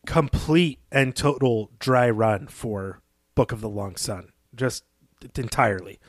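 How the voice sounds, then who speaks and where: A man of middling pitch speaks as a podcast co-host. He analyses literature in a measured, thoughtful way, building his points in halting phrases. The recording is dry and close. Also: no room sound.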